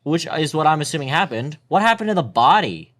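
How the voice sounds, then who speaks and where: A man co-hosting a casual movie discussion, nitpicking in an animated way. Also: a little high, brisk. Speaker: podcast co-host.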